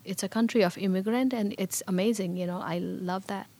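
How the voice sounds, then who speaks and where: A woman's voice: mid-range voice, steady tone, a little fast, mellow. A middle-aged woman from Bhutan, a native Nepali speaker with a Bhutanese Nepali accent, earnestly recounting her life story in an interview. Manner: reflective, earnest. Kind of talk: personal storytelling.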